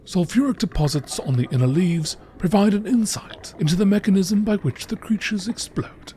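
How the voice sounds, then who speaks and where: A man reading scripted narration in a nature documentary style, in a measured, informative manner. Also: high-pitched, mellow, a little hiss or hum.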